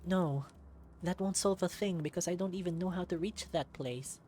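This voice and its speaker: Man voicing an anxious, high-pitched little boy fox. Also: normal speed, darkish tone, soft background music, natural voice. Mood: frustrated, scared. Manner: pleading, anxious. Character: frightened young boy fox, earnest and impulsive. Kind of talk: visual novel character voice acting.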